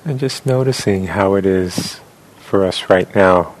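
A man leading a guided meditation as a teacher, speaking gently and with long pauses. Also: low voice, poor audio.

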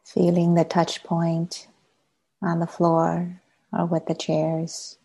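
A woman, a native Chinese speaker, leading a guided meditation as a teacher, gently and with long unhurried pauses. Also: fairly low pitch, monotone, gravelly, slightly rough, rough recording.

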